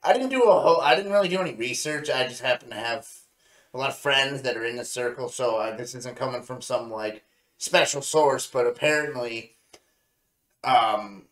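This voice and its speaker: A man's voice: medium pitch, moderate pace, a bit bright. A man co-hosting a review show, talking casually with blunt opinions, recorded in a roomy, echoey space. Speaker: podcast co-host reviewing anime.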